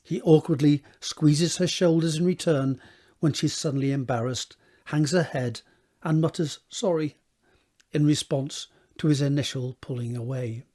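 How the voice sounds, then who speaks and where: Husky male drama narrator. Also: on the high side, normal speed, dark tone. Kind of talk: scripted narration of stage directions.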